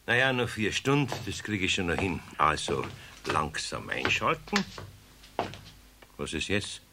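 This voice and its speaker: An actor playing a grumpy, easily flustered older craftsman with a Bavarian accent and a gravelly voice, muttering and scolding in a children's radio play. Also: low-pitched, very animated, unhurried, full character voice. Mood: muttering, baffled. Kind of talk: 1970s German children's radio play acting. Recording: faintly noisy 1970s radio play tape.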